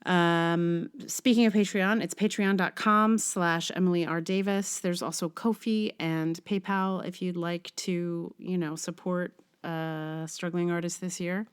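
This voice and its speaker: A middle-aged woman talks casually as a podcast host. Her delivery is slow and halting, with an informal, self-deprecating manner that sounds unscripted. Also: on the low side, steady tone, smooth. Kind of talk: casual podcast outro chat.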